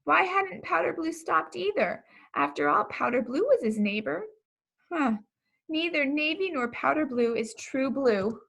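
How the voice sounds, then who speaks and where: A woman reading a children's story aloud in an expressive, deliberate storytelling manner. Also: high voice, gravelly, low-quality recording. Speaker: pastor reading a children's book.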